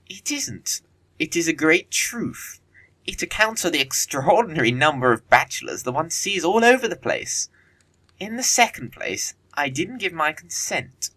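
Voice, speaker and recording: A man acting a witty, teasing young English gentleman in an audio play of a period comedy, with an arch, theatrical delivery. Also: fairly high pitch, sing-song, measured pace, breathy edge, full character voice. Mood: teasing, insistent.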